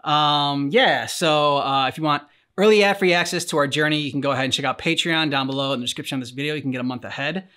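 A man's voice: on the high side, fast talker, few pauses, bright, forceful tone. A man in his thirties chatting casually as a reaction host on a couch indoors. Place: living room couch, indoors.

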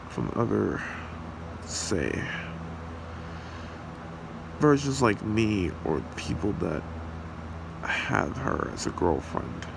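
A man voicing a possessive, smug demon kidnapper in an amateur ASMR roleplay, taunting and unhurried. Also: lowish voice, creaky, heavily acted. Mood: scheming. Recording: steady hiss and hum behind voice.

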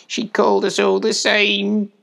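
Storybook piglet played by a man: little, high, slow-spoken, shy and forlorn, with a quiet dignity. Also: big pitch swings, gravelly, heavily acted. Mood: unhappy, unsure. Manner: quiet, halting, forlorn answers. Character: young orphaned piglet, shy, sad but dignified.

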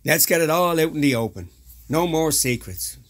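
A man plays a good-natured Irish dinner guest in a radio comedy. He has a lively, swinging pitch and a soothing, appeasing manner. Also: normal speed, close to an everyday voice. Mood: placating. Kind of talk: comedy radio drama acting.

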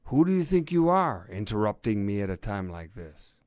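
A man's voice: fairly low pitch, moderate pace, a little mellow, dry recording, clean studio sound, natural voice. A man voicing an irritable, blustering badger police inspector in a storybook tale. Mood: irritated. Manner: gruff-tempered, huffy.

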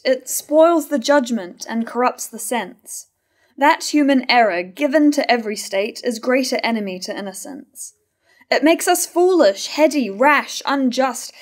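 A young woman playing the moralising chorus of a classical tragedy, reciting formal verse. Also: high voice. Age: young adult. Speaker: actor in a staged reading. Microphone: stand mic at lectern.

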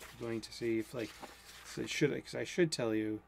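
A man's voice: mid-range voice, moderate pace, gravelly, slight background noise. A man in his forties with a Boston accent, giving a casual spoken sermon from a cluttered home room, rambling and interrupting himself as he talks. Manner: rambling, self-interrupting, mumbles and speeds up when excited. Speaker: host.

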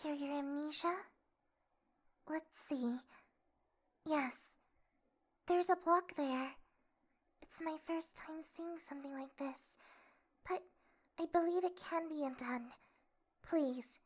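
A woman playing a composed, secretive young princess in a comic dub, speaking softly and formally. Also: high-pitched. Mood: calm, gentle. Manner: soft, measured, formal. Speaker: voice actor in a fan comic dub. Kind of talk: dramatic comic dub acting.

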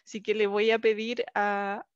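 A woman's voice: mid-range voice, flat delivery, moderate pace, clean tone, no room sound, highs cut off. A young woman with a Chilean Spanish accent, presenting a project on a video call through a headset. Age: young adult. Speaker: English teacher presenting a language project. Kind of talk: online presentation. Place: small indoor room, video call.

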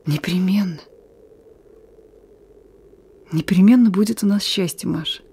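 A woman's voice: lowish voice, fairly quick, mellow, slightly rough. An actress playing a young, steadfast and thoughtful wartime partisan radio operator in a radio play, her voice often dropping toward a whisper. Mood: earnest, hopeful.

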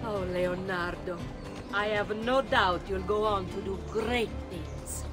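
A woman's voice: medium pitch, slow, over music, lightly acted. A woman playing a wry, composed middle-aged Italian noblewoman and mother in a video game, with an Italian accent. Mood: gracious. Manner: composed, knowing. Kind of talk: dramatic video game acting.

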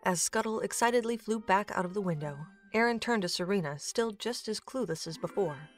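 A woman's voice: mid-range voice, animated, brisk. A woman reading scripted narration for a fantasy audio drama. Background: music.

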